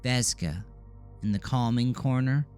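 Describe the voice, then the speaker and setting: A man's voice: mid-range voice, measured pace, darkish tone, faint music behind, voice pushed up, natural voice. A man voicing a solemn eight-year-old boy, matter-of-fact and knowing beyond his years, in an audio drama. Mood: solemn, insistent.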